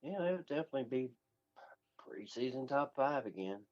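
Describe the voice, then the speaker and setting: A man co-hosting casual sports banter in a teasing, deadpan way. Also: medium pitch, normal speed, lo-fi sound. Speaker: co-host.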